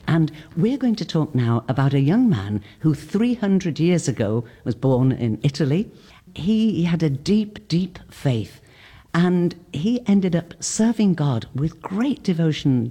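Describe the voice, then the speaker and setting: A presenter hosting an interview, reading scripted introductions and asking questions with warm curiosity. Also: medium pitch. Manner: warmly inquisitive, scripted introductions. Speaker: radio presenter.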